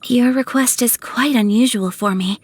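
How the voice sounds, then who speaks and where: A young woman playing a refined, dutiful, gentle noblewoman in a video game, speaking with formal, courteous, measured phrasing. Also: fairly high pitch, slightly rough, dry recording. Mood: gentle, composed. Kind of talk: scripted video game character dialogue. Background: music.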